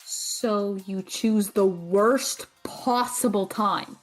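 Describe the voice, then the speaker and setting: A woman's voice: mid-range voice, drawn-out words, soft background music, rough recording. A young woman playing a stressed, sarcastic student who is a loyal friend, in a naturalistic audio drama. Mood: exasperated. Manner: sarcastic, exasperated, swearing.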